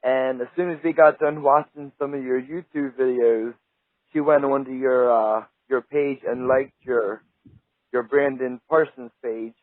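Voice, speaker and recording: A man's voice: medium pitch, fairly even intonation, unhurried, no room sound. Male radio host, friendly and enthusiastic, casual interviewer; narrow phone-like sound, dry. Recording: tinny phone-like line, dry.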